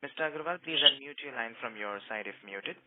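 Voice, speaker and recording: A man's voice: mid-range voice, fairly quick. A man working as a conference call operator, giving formal, scripted announcements to participants. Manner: formal, procedural. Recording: tinny, noisy phone conference line.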